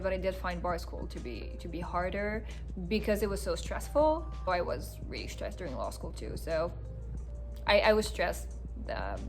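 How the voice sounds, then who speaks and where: A woman in her twenties, a native French speaker, answering viewers' questions in a casual to-camera chat, earnest with frequent ums and restarts. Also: mid-range voice. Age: 20s.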